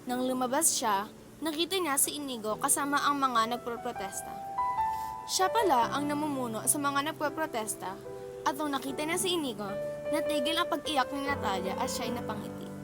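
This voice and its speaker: High-voiced woman narrator over music. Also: lively intonation, normal speed. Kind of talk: scripted radio drama narration.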